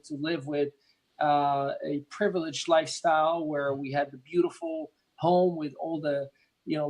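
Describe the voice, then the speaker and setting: A man's voice: on the high side, low-quality recording. Earnest Moroccan man telling his story. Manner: earnest, repeats words and restarts, many fillers. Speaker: interview guest. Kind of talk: conversational storytelling interview.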